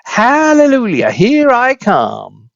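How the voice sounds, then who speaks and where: Man putting on a shrieking, high-pitched crotchety granny. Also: huge pitch swings, creaky, no room sound, dull audio, full character voice. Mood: gleeful yelling. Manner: exclamatory, screeching, drawn-out cries. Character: shrieking, crotchety, gleefully mad old grandmother.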